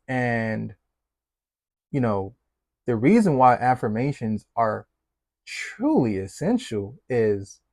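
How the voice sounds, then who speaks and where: A man with a Jamaican accent, giving a casual motivational talk as a fatherhood coach in a relaxed, conversational way. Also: medium pitch, dry recording, studio quality. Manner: relaxed, conversational, many fillers, laughs easily.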